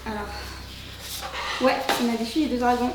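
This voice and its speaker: A woman's voice: fairly high pitch, steady tone, moderate pace, background noise. A woman casually chatting as host of an unboxing video, appreciative and often hesitant as she searches for words. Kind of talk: casual chat, showing items. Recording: roomy echo, music behind. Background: background music.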